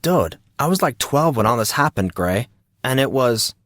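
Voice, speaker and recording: A man's voice: medium pitch, sing-song, moderate pace, a bit bright, dry recording, clean studio sound. A man voicing a sheepish teenage schoolboy who talks in a slangy, defensive way. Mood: uncomfortable.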